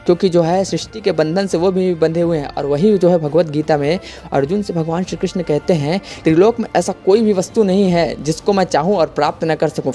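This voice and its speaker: A man's voice: a little high, mellow. An Indian man hosting a religious explainer voice-over in an earnest, didactic, repetitive manner. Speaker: YouTube explainer host. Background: music.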